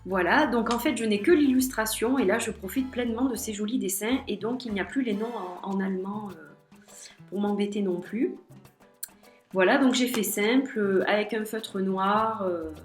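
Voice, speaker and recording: A woman's voice: mid-range voice, moderate pace. A woman with a slight head cold, chatting hesitantly in a casual vlog as she shows her tarot and oracle cards. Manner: chatty, hesitant, many fillers. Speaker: tarot and oracle card vlogger. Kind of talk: casual show-and-tell vlog. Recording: echoey room with faint music.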